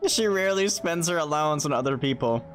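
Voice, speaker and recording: A man's voice: a little high, animated, fast talker, fairly bright tone. A man livestreaming a video game, reacting and commenting in a casual, chatty way. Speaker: game streamer. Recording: game music behind the voice.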